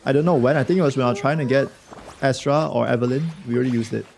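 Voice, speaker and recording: A man streaming a game with a hoarse voice from a cold, chatting loosely and slangily with his viewers. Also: mid-range voice, very fast, a little mellow, a little hiss or hum. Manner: slangy, rambling, talks to chat. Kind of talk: casual livestream chat reacting to a game.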